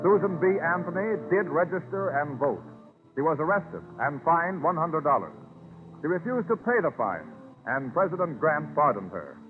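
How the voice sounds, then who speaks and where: A man's voice: a little high, sing-song, moderate pace, narrow, tinny audio. A man narrating history in a formal, declarative 1930s radio style. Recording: phone-thin 1930s radio recording with music. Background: music.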